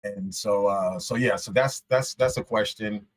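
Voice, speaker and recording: A man's voice: on the low side, unhurried. A man whose first language is Shona, a guest talking casually on a podcast, laid-back and full of slang.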